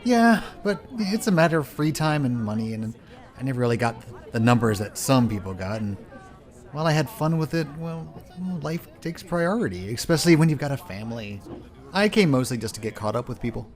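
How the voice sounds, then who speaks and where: A man playing an easygoing, matter-of-fact family man with a casual deadpan delivery in a comedy web drama. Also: mid-range voice, fairly quick.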